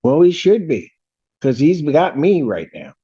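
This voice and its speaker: Slow, stern male judge on dull audio. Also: on the high side, sing-song, husky. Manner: halting, emphatic, repeats himself. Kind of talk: stern address from the bench. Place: courtroom.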